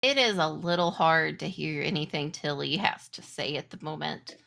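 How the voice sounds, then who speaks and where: A woman improvising narration as game master of a tabletop game, halting as she searches for words. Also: deep voice, vocal fry, pushed and bright.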